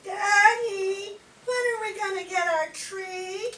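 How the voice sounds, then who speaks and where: A woman's voice: very high-pitched, takes it slowly, sharp tone, heavily acted. A woman playing a pleading little girl, the youngest daughter, in an old-time radio comedy. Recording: roomy, distant mic, faint noise.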